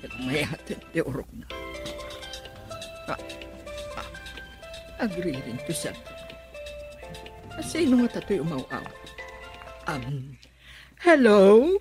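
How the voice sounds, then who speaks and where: A woman's voice: low voice, wildly swooping pitch, slow pace, long pauses, music in the background, heavily acted. A Filipino woman playing an anxious, coaxing mother of a grown son in a radio drama. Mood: weary, muttering.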